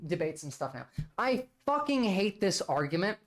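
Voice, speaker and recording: A woman's voice: medium pitch, sing-song, normal speed. A Turkish-born woman, debating her chat on a casual livestream. She argues bluntly and emphatically, peppering her points with "like". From Turkey. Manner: blunt, argumentative and emphatic, with frequent "like" fillers.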